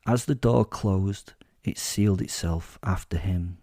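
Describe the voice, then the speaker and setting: A man's voice: low voice, unhurried, dark tone, no room sound. A man playing a sly, sadistic, self-assured captive werewolf who tells his story in a confiding, gloating way for a horror comedy audio drama. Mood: relishing.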